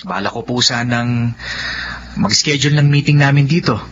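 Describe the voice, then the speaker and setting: A man plays a soft-hearted, respectful young Filipino man in a radio drama. His pitch is average. The recording is dull and faintly noisy. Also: moderate pace, close to an everyday voice. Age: young adult. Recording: dull lo-fi audio with faint noise.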